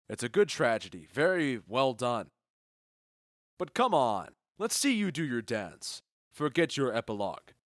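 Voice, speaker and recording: A man's voice: medium pitch, no room sound, clean studio sound, natural voice. A man playing a wry, genial noble duke in an audio drama, assured and courtly. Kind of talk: audio drama Shakespeare in modern English.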